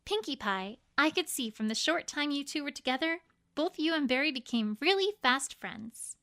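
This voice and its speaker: A woman's voice: high voice, lively intonation, normal speed, sharp tone, exaggerated voice. A woman voicing an earnest, bookish young female unicorn in a cartoon-pony story, explaining things carefully and a little formally. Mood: explanatory.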